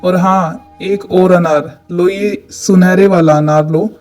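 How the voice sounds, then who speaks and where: A man's voice: sits high, fairly even intonation, takes it slowly, a little mellow. A man voicing a grateful, generous magical talking tree with a benevolent, declaring manner in a cartoon. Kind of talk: cartoon dialogue. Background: background music.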